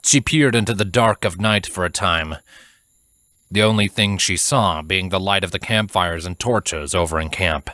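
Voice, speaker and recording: A man reading scripted fantasy fiction as narrator, in a measured, dramatic storytelling manner. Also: low voice, sharp tone, no room sound, studio quality.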